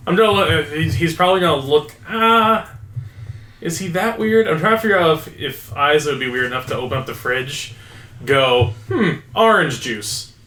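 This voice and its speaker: A man's voice: a little high, lively intonation, normal speed, bright, forceful tone. A man playing a tabletop game, chatting casually and thinking aloud as he improvises his character's choices. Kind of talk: casual improvised roleplay chat. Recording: echoey room, background noise.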